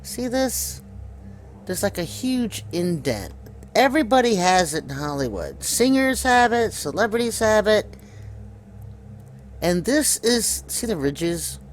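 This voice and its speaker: A man with a somewhat high voice gives an informal commentary as a video host. He insistently directs viewers to what he is showing. There is faint noise behind him. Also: sing-song, takes it slowly. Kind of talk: informal conspiracy commentary over pictures.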